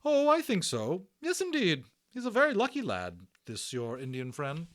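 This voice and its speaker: A man voicing a hearty, bustling middle-aged British hospital matron in a storybook, with a brisk, gushing, exclamatory manner. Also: a little high, wildly swooping pitch, a bit bright, voice pushed up. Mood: impressed, chatty.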